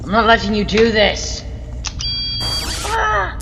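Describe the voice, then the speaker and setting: A woman's voice: a little high, very animated, takes it slowly, gravelly, full character voice. A woman playing a righteous, indignant Time Lord hero in a fan audio drama, accusing and emphatic in her delivery. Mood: angry, anguished. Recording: dull, noisy recording with long reverb.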